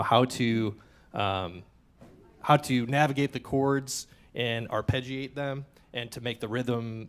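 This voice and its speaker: Man, trombonist, workshop talk, hesitant, thoughtful, stage mic. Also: mid-range voice. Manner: hesitant, many fillers, thoughtful. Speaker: trombonist in the band. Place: community center hall.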